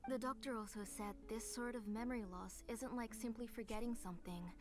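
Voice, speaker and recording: A gentle mother figure, acted by a woman with a fairly high voice. She speaks softly and earnestly, with measured care, as in an anime game story. Music plays clearly behind her. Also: steady tone, lightly acted. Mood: sorrowful, resigned. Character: gentle, sorrowful, caring mother of a sick child.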